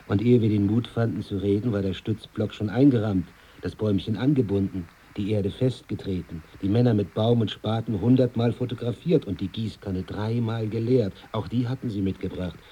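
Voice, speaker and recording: A man narrating a literary monologue in long, flowing sentences, in the measured manner of a villager telling his story. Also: lowish voice, dark tone, husky.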